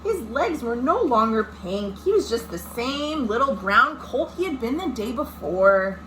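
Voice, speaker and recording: A woman reads a picture book to children in a high, animated voice. She takes her time, leaning on words for drama and addressing her young audience directly. It is a homemade recording with some background noise. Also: unhurried. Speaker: children's librarian hosting storytime. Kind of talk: read-aloud children's picture-book storytime with asides to young listeners. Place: indoors at home. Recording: noisy amateur home recording.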